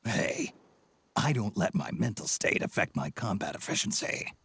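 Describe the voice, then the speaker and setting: Old gruff game soldier, super husky growl, weary and sardonic, voice swings around. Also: male voice, lowish voice, sing-song, moderate pace, a bit bright, full character voice. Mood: sardonic, grudging. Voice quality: gravelly, raspy, breathy growl. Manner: terse, dry, sardonic. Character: gruff aging male soldier, weary and dry. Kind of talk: video game voice acting.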